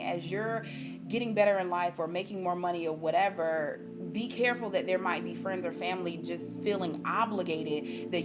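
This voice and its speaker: An American woman talking casually and emphatically to viewers as she gives an intuitive reading, repeating her points for stress. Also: on the low side. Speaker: spiritual reader giving intuitive readings to viewers. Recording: music playing behind the voice.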